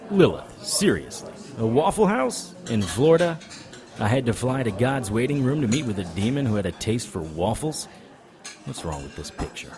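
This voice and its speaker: An actor playing a wry, world-weary occult detective who narrates in noir audio drama style. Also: male voice, medium pitch, very animated, moderate pace, a crowd in the background. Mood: sardonic. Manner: wry, sardonic. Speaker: narrator and lead character.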